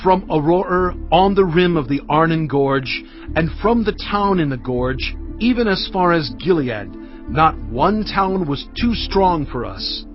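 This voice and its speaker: A man as Moses, earnest and measured. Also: fairly high pitch, animated, highs cut off, soft background music. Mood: solemn. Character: Moses, an aged leader recounting conquests. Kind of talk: dramatised scripture reading.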